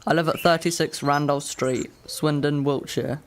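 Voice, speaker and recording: A man's voice: a little high, fairly even intonation, moderate pace, a little hiss or hum, natural voice. A man playing a precise, literal-minded fifteen-year-old boy in a radio drama. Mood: matter-of-fact. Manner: matter-of-fact, precise, literal. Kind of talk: radio drama narration and dialogue.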